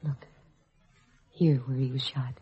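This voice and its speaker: An actress playing a sharp, composed female detective who narrates in a brisk, matter-of-fact way, in 1940s radio acting style. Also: a bass voice, little pitch movement, husky, exaggerated voice. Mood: serious. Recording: dull lo-fi vintage radio recording, faint music.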